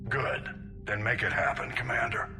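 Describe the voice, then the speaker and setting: A man's voice: medium pitch, fairly even intonation, moderate pace, over music, close to an everyday voice. An actor playing a resolute senior male military admiral in a video game, direct and commanding in his delivery. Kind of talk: video game character dialogue.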